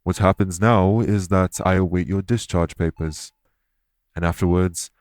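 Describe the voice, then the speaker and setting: An actor playing a courteous, remorseful immortal elven king in an audio roleplay, speaking in formal, measured phrases. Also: sits low, steady tone, a little mellow, no room sound, studio quality. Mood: calm, earnest. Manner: formal, measured, stately wording. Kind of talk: scripted audio roleplay acting.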